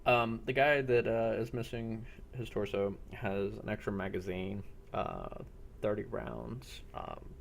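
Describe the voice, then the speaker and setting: Male game master, slightly deep, fry in the voice, halting improvised narration, faint background noise. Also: very animated. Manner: halting, pausing between phrases. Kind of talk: improvised narration of a tabletop-style role-play.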